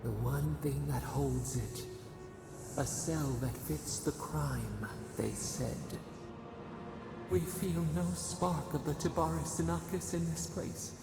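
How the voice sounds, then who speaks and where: A woman playing a lonely, imprisoned ancient god in a fantasy game, with cryptic, halting speech and a creaky voice. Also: sits low, heavily acted. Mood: mournful, brooding. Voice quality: heavily creaky. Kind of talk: fantasy video game acting. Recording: echoing voice over prominent music.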